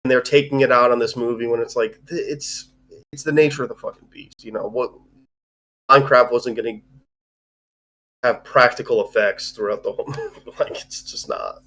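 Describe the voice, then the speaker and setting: A man co-hosting a casual live movie discussion, talking in a halting, rambling way with plenty of swearing. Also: medium pitch, fairly even intonation, fairly bright tone, low-quality recording. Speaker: co-host reviewing a film. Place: live online stream.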